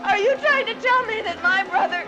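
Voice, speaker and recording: A woman's voice: very high-pitched, fairly quick, lo-fi sound, over music, a crowd in the background. An actress playing a distraught, tearful woman, pleading and agitated in a film drama. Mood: incredulous. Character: distraught woman fearing for her possessed brother.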